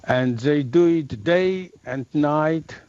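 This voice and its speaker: A man's voice: a little high, slow pace, darkish tone, smooth, highs cut off. A man interviewing staff on a live webcast, asking short questions in non-native English.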